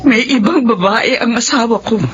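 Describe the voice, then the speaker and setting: A woman's voice: fairly high pitch, moderate pace, muffled recording, lightly acted. An actress playing an anguished, betrayed middle-aged wife and mother with a Filipino accent in a radio drama. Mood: distraught.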